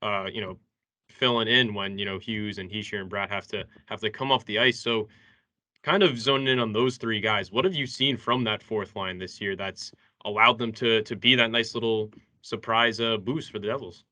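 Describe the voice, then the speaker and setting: A man co-hosting a sports interview show, putting questions conversationally with halting, repeated words. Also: lowish voice, steady tone, sharp tone, dry recording.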